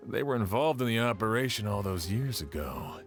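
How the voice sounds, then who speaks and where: A skeptical adult official in a video game, with a very low voice. He presses hard with doubtful questions. Soft music runs behind him. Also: lively intonation, fairly quick. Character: skeptical adult male official who commands men.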